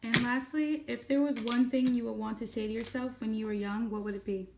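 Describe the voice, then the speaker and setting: A young woman reads as a student reporter in a fairly high voice. The recording is roomy and echoing, with some faint background noise. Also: fairly even intonation, brisk, darkish tone. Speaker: student news reporter and interviewer. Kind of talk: scripted news report.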